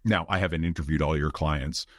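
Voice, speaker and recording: A man hosting a conversational interview, speaking in a reflective, articulate way with measured phrases. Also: extremely low pitch, fairly even intonation, a bit bright, no echo, clean studio sound. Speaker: podcast host, interviewer.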